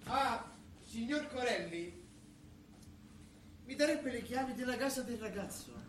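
An actor playing a smooth, evasive, scheming doctor in a stage comedy. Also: male voice, very high voice, expressive, unhurried, fairly bright tone, distant mic, full character voice. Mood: smooth, insistent. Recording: roomy theatre recording with background noise.

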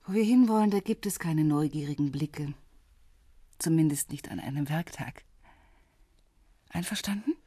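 Husky, slightly low female voice, middle-aged policewoman, intimate, self-possessed, flirtatious, dry radio drama sound. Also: normal speed, long pauses, dark tone, dry recording. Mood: softly inviting. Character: self-assured, flirtatious grown Danish policewoman, gently ironic.

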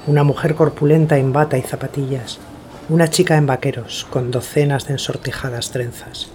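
Male radio-drama narrator, mid-pitched. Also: steady tone, normal speed, a little mellow, slight background noise.

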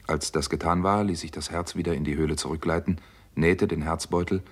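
A driven, earnest doctor in a 1960s radio thriller. His voice is very low and husky, and he tells his story with grave seriousness. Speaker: actor narrating and playing a doctor. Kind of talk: 1960s German radio crime drama acting.

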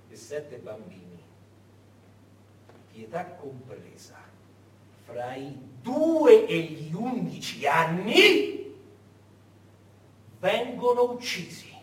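A man telling history as a dramatic stage monologue, emphatic, with pauses and stretched words. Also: medium pitch. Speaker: stage actor and narrator. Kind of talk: theatrical historical storytelling, monologue. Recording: echoey stage, distant, faint noise.

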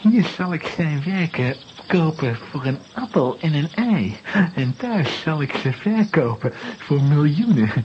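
An actor playing a greedy, pompous gang boss in a children's audio drama. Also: male voice, fairly high pitch, sing-song, unhurried, few pauses, highs cut off, slight background noise. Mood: gloating. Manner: pompous, grandly boastful. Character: greedy, gloating male villain, scheming gang boss.

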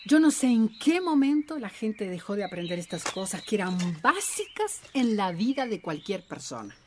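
A woman's voice: mid-range voice, sing-song, moderate pace, noisy background, close to an everyday voice. An actress playing a stern, impatient woman teaching vegetable gardening in a radio drama. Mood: exasperated.

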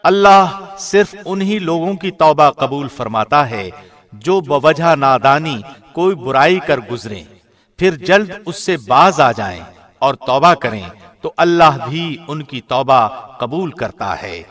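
A man reading a scripture translation in a measured, formal broadcast manner. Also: on the high side, animated, fairly bright tone. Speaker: translation reader, radio host.

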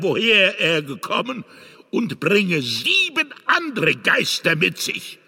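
A fanatical male prelate in a radio drama, whipping up his flock from the pulpit. His high voice swings widely and rings through a long church reverb. The delivery is declamatory and inflammatory. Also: bright, forceful tone, heavily acted. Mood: inflamed zeal.

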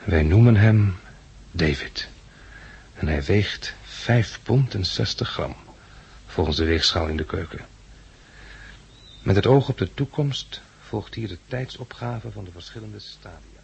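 Husky, practical male survivor. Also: fairly low pitch, animated, a little slow, pauses often, heavily acted. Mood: earnest, narrating. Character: Bill, practical, steady male survivor and group leader. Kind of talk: 1960s Dutch radio drama acting. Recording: muffled 1960s radio recording.

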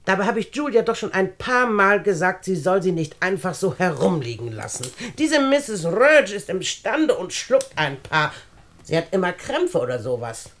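An actress playing a wealthy, condescending older aunt in a German radio play, speaking standard German in a deliberate, haughty manner. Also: female voice, on the low side, very animated, a bit bright. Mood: fussy, brisk. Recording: studio radio drama recording.

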